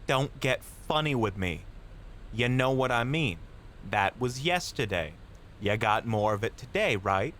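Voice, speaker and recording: A man's voice: mid-range voice, animated, a little slow, a bit bright, slight background noise. A man playing a pushy, taunting, slangy street punk in an audio roleplay. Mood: cocky, menacing. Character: cocky, bullying male street punk mugger.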